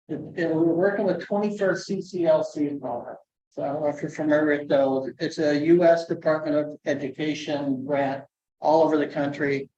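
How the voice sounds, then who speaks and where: A soft-spoken man, a conservation educator, giving a chatty presentation with asides and fillers. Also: a little high, reverberant, lo-fi sound. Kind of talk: conference presentation.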